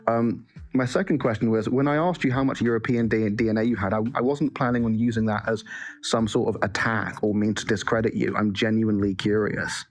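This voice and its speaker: Halting, pointed man in debate. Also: on the low side, steady tone. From half West African parentage. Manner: presses pointedly, halting restarts. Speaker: live stream participant. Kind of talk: casual debate.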